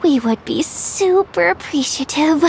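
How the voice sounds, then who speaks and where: A flustered young guild worker in a video game. Her voice is extremely high and swings around expressively, anxious but kindhearted. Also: animated, takes it slowly, darkish tone, a little hiss or hum, exaggerated voice. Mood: uneasy. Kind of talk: video game character acting.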